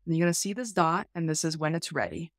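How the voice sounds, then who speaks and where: A woman's voice: low voice, expressive, fast, no room sound. Woman hosting, dry close sound. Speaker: YouTube host. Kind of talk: conversational tech demo. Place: small home room, indoors.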